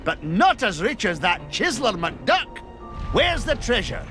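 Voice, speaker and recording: Grasping old cartoon game duck, whiny grumble, slow bouncy delivery, music under it. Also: male voice, very high-pitched, sing-song, exaggerated voice. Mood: greedy. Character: greedy old rival tycoon duck, bitter and grasping.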